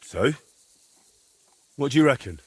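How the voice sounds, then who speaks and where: An actor playing an earnest young adventurer in a video game, polite but easily exasperated. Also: male voice, mid-range voice, expressive, unhurried, breathy edge. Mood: sheepish, earnest. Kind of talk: video game dialogue acting.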